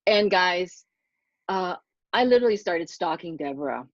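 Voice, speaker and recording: A middle-aged woman from Germany hosting a podcast interview, excited and effusive as she introduces her guest. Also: fairly low pitch, moderate pace, dry recording. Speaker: podcast host. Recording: dull dry lo-fi sound.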